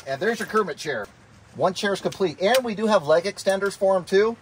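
A man's voice: high-pitched, brisk, noisy background. A man in his thirties, filming a casual camp vlog outdoors at a mountain campsite and explaining things in an easygoing way as he goes. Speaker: overlanding vlogger.

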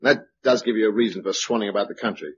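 An actor playing a hot-tempered, argumentative organizer in a British radio drama, with emphatic delivery. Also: male voice, fairly low pitch, fast talker, slight background noise, natural voice. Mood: urgent, persuasive. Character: hot-tempered forceful male organizer urging survivors to rebuild. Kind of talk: 1950s-60s British radio drama acting. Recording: dull old radio broadcast recording.

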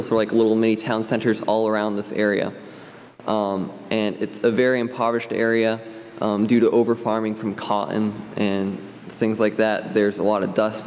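A man's voice: fairly low pitch, darkish tone. A teenage boy giving a testimony at a church lectern, talking in an earnest, hesitant way with many ums. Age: high school age, late teens. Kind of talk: unscripted trip report to a congregation. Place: large stone church sanctuary. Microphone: standing at a lectern microphone. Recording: long church reverb, faint room noise.

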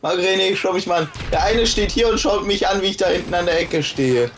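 Young guy, high voice, jokes around while gaming with mates, slight hiss. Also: a little fast, fairly bright tone. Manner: joking, teasing, laughs often. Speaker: gamer. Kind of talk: casual gaming chat with friends.

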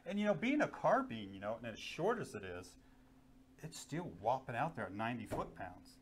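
A man's voice: medium pitch, sing-song, moderate pace, slight background noise. Easygoing middle-aged man in studio. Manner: easygoing, many fillers, enthusiastic. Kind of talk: casual product chat. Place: small indoor studio with guns on wall. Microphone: lapel.

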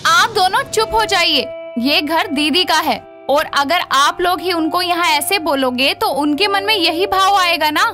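Woman acting very high, sweet young daughter-in-law. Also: unhurried, few pauses, bright, forceful tone, faint music behind, heavily acted. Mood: placating. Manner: polite, placating.

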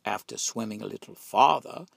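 A man narrating a children's storybook in an expressive, dramatic way. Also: lowish voice, moderate pace, creaky, husky, dry recording, clean studio sound. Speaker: storybook reader.

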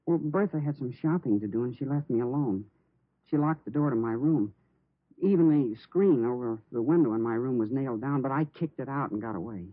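An actor playing a nervous, polite teenage stepson in an American 1950s radio drama, earnest and hesitant. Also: male voice, medium pitch, brisk, no room sound, natural voice. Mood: polite, troubled. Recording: muffled, narrow-band vintage radio recording.

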